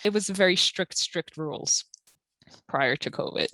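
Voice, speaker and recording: Woman, health center counselor, very low pitch, dry sound, step-by-step explaining, filler words. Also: measured pace, a bit bright, no room sound. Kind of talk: informational interview.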